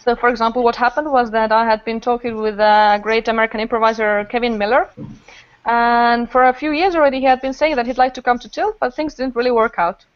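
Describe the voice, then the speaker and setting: Woman, higher-pitched voice, enthusiastic rambling storytelling, casual podcast chat, dull audio. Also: steady tone, quick pace, clean tone, no room sound. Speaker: improv festival organizer, podcast guest.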